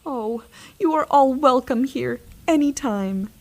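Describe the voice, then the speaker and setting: Woman as a kindly, higher-voiced suburban mom. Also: takes it slowly, a little mellow. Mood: welcoming. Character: kindly, long-suffering suburban mother of a genius. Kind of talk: scripted audio drama acting.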